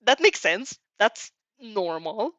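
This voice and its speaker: A woman's voice: medium pitch, big pitch swings, takes it slowly, fairly bright tone, no echo. A woman reads a story aloud expressively and informally, stumbling over sentences and breaking off to react casually. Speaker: fan fiction reader reacting as she reads. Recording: slightly dull, dry recording.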